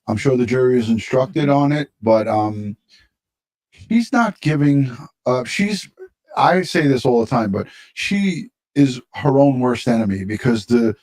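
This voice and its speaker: A man's voice: medium pitch, lively intonation, measured pace, a little mellow. A man giving casual true-crime commentary as a live stream panelist, opinionated and repeating himself for emphasis.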